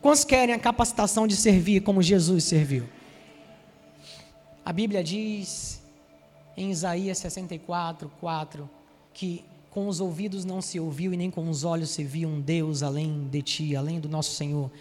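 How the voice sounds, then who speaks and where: A man in his forties from Salvador with a Bahian accent, preaching a sermon and telling personal stories, speaking to the congregation directly with lively asides. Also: on the high side, moderate pace, long reverb tail, soft background music. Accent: Bahian Brazilian Portuguese. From Salvador, Bahia, Brazil. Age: 40s.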